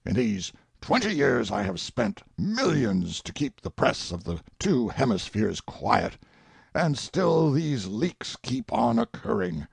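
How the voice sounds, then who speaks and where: A man's voice: mid-range voice, huge pitch swings, slow pace, creaky, breathy edge, no echo, pitched above the performer's own voice, heavily acted. A man playing an indignant, self-pitying, sanctimonious king in a theatrical audiobook monologue, full of exclamation and emphasis. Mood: bitter indignation.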